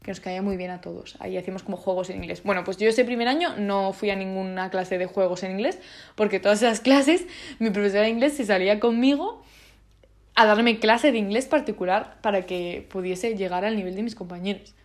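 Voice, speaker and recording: A woman's voice: medium pitch, brisk, darkish tone. A nineteen-year-old woman from Spain with a Spanish accent, talking in a casual vlog with many restarts and fillers and lots of slang. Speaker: vlogger.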